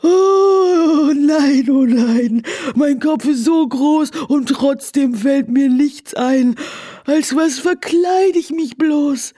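A woman's voice: sits high, animated, slow, warm tone, slightly rough, no room sound, studio quality, full character voice. A woman voicing a fretful horse who moans and frets dramatically about what to dress up as. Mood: fretful wailing.

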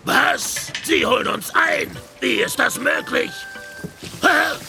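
A man voicing a blustering, short-tempered cartoon pirate captain who bellows threats, with loud music behind him. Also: extremely high pitch, lively intonation, a little slow, vocal fry, exaggerated voice. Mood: baffled outrage.

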